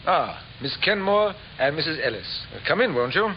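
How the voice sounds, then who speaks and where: An actor playing a polite but firm police inspector, formal and measured, in 1950s radio drama. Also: male voice, a little high, sing-song. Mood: calm, courteous. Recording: muffled, noisy old radio recording.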